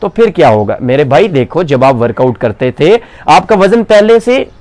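A man's voice: a little high, very animated, normal speed, a little mellow, no echo. A man in his twenties with a Pakistani Punjabi accent, explaining fitness in a chatty way to camera outdoors, on a clip-on mic. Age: 20s. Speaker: fitness coach and bodybuilder. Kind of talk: casual talk to camera. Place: outdoors in front of a brick wall. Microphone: clip-on lapel mic.

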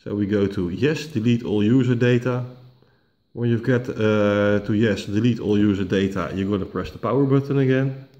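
A man who is not a native English speaker, walking through a tablet reset step by step, unhurried and full of "uh" fillers and restarts, recorded at home on a camera mic. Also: on the low side, warm tone. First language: not English, picks Dutch. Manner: unhurried, many uh fillers, self-corrections. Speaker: tech tutorial host. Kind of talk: slow step-by-step screen walkthrough. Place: home dining room, indoors.

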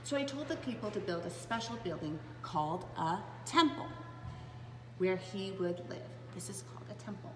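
A woman in her thirties reading a children's Bible story aloud to preschoolers in an animated, dramatic way. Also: mid-range voice, moderate pace. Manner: animated and dramatic, with gasps, hushed asides and questions to young listeners. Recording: roomy, echoing space with clear background noise.

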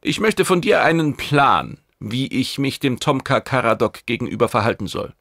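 A man's voice: medium pitch, creaky, fairly bright tone, no room sound, studio quality. A man voicing a brash, domineering alien warship commander in a science fiction audiobook, blunt and commanding. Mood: scheming, demanding.

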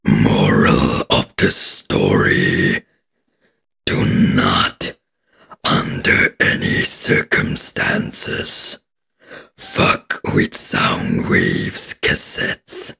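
A man's voice: extremely low pitch, drawn-out words, gravelly, pushed and bright, slightly rough, voice pushed down, full character voice. A man voicing a cold, menacing giant robot commander from a cartoon, in a guttural growl with ominous pronouncements. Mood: menacing, solemn.

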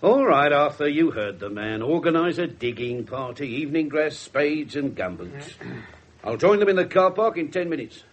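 A man playing a gruff, wry, irreverent English police inspector in a radio drama, blunt and husky. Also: a little high, lively intonation, moderate pace, a little hiss or hum, lightly acted. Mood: wry, grumbling. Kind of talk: 1970s radio drama acting.

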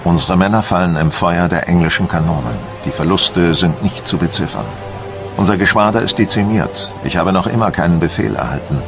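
An actor playing a proud, embittered French admiral, solemn and theatrical, in a dramatised documentary monologue. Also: male voice, low voice, fairly quick. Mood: grim, resolute. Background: music.

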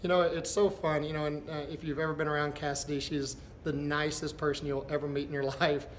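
A middle-aged man, a basketball head coach, answering postgame press questions in a halting, thinking-aloud way. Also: a little high, little pitch movement. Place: indoors before a backdrop, a step from camera. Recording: echoey room with background noise.

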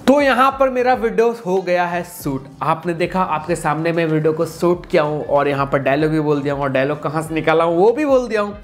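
A man's voice: on the high side, brisk, hardly any pauses. A man, giving a chatty, informal step-by-step tutorial with English tech words mixed in. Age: 20s. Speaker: video tutorial host. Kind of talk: casual step-by-step tutorial to camera. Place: small indoor room with computer setup. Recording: clearly noisy room recording.

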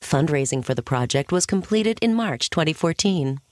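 A woman's voice: deep voice, expressive, moderate pace, a little mellow, no room sound, clean studio sound. A woman narrator reading a scripted factual piece.

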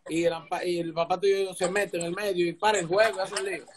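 A man's voice: high-pitched, little pitch movement, fast talker, crowd noise. A middle-aged man with a Dominican accent hosting a casual sports interview over a video call, with informal, slangy talk. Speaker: interviewer, show host. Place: indoor room, remote video call. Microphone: video call, device at arm's length.

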